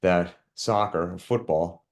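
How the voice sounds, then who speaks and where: A man's voice: deep voice, takes it slowly. A man hosting an interview podcast, curious and affirming, asking his guest questions in a casual way. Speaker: podcast host.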